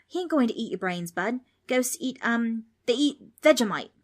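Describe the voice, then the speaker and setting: A woman's voice: on the high side, lively intonation, normal speed, pitched above the performer's own voice. A woman voicing an easygoing, chatty Australian boy with matey slang in a children's audiobook. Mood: soothing.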